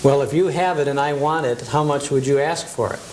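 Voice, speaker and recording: A woman's voice: sits low, a little fast, darkish tone, a little hiss or hum. A woman hosting a casual TV interview, asking questions in an inquisitive, folksy way. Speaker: TV interviewer, host.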